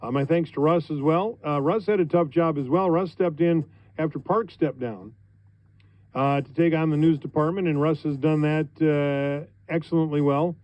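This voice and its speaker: A middle-aged man with an American accent, a radio host talking on air in an informal, conversational, halting way. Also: on the high side, no room sound, dull audio. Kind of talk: informal on-air thank-you speech. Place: radio studio.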